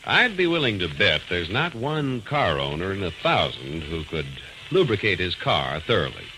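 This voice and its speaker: A man's voice: sits low, big pitch swings, moderate pace, rough recording. A man with an American accent reading scripted 1940s radio announcements in a polished, emphatic salesman's delivery. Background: music.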